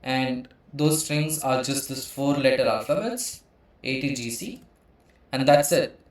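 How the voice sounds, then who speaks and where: A man from India in his thirties, hosting his own channel and walking through slides on computational biology, pausing often with uh. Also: mid-range voice. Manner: hesitant, frequent uh fillers. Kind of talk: explaining with slides. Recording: echoey room.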